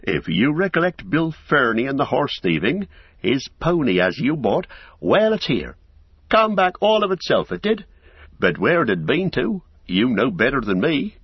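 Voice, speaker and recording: A man's voice: mid-range voice, big pitch swings, normal speed, husky, dry recording, muffled recording, pitched above the performer's own voice. A man voicing a good-hearted, easily flustered innkeeper in a storybook, rambling along in folksy, rustic phrases. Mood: flustered, chatty. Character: broad-faced, garrulous, good-hearted, flustered male innkeeper. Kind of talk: audiobook character acting.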